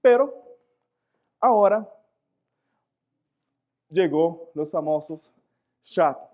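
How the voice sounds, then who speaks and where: A man whose first language is Portuguese, speaking Spanish with a Portuguese accent as he gives a live lecture, animated, often searching for words and asking rhetorical questions. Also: fairly high pitch, extremely slow.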